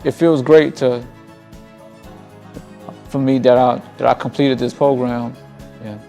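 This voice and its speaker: A man's voice: mid-range voice, steady tone, measured pace, vocal fry. A young man in his twenties giving a simple, earnest student testimonial. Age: 20s. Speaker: program student. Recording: soft music underneath.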